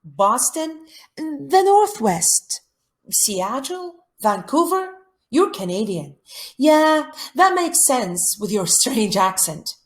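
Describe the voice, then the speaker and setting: A woman's voice: sits high, big pitch swings, slow, voice pushed up, exaggerated voice. A woman reading the part of an eager, curious girl at summer camp in a play, with breezy, blunt phrasing. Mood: curious, excited. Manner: casual, breezy, inquisitive phrasing.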